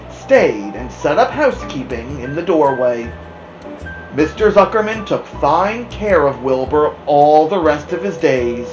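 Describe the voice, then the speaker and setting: Woman, children's story reader, expressive, slightly low lively pitch, echoing room. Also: dull audio. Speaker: storybook reader, podcast host. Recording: roomy, echoing room sound.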